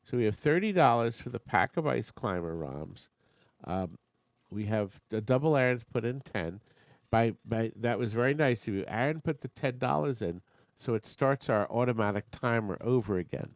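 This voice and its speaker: Gravelly man running a chatty auction. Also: medium pitch, lively intonation, moderate pace, no room sound. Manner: chatty, joking asides to bidders. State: losing his voice. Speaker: auctioneer and show host. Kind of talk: live online auction chat.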